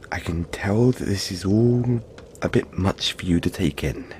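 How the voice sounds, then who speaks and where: A man acts out a big cuddly yeti boy for an audio roleplay. He speaks very slowly in a lowish voice, gentle and polite, often halting as he reassures the listener. There is clear noise behind the voice. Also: exaggerated voice. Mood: apologetic, reassuring. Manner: soft-spoken reassurance, halting, polite and chatty. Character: huge, gentle, cuddly young male yeti monster.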